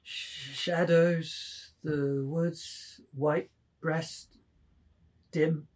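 A woman voicing a drunk, half-conscious young man, murmuring verse thickly with long drawn-out vowels in a table reading. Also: deep voice, fairly even intonation, a little mellow, heavily acted. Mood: drowsy, murmuring. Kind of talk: amateur dramatic table reading.

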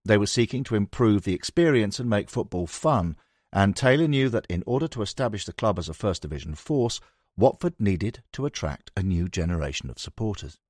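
A man's voice: on the low side, very animated, a little fast, darkish tone, dry recording, studio quality. A man reading a sports history book aloud as a scripted narration. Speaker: audiobook narrator.

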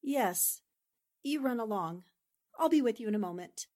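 A woman's voice: a little high, moderate pace. An actress playing an affectionate, spirited newspaperwoman in a 1940s-style radio drama, speaking expressively and with fond familiarity. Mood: fond, casual. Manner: expressive, chatty, uses pet endearments. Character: affectionate, spirited newspaperwoman concerned for her friend.

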